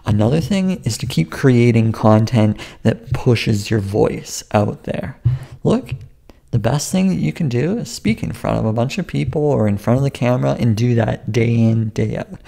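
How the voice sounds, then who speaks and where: Calm low-voiced man with gravelly fry. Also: a little slow. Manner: rambling and self-interrupting, with restarts. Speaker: YouTube creator giving advice. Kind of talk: casual advice vlog to camera.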